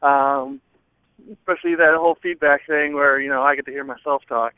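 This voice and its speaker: A man calling in to a show over the telephone, rambling casually and hesitantly with many ums and pauses. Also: on the high side, steady tone, clean tone, dry recording. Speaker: listener calling in. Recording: narrow, dry phone-line sound.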